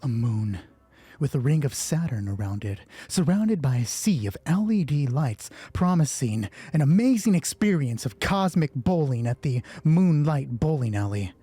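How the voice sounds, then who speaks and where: A man hosting a solo roleplay game, narrating it dramatically and deliberately in short phrases. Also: medium pitch, big pitch swings, mellow, slightly rough, dry recording.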